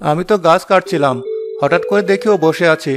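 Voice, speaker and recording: A man's voice: on the high side, brisk, close to an everyday voice. A man voicing a kind but weary village woodcutter in a children's cartoon. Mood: kind, concerned.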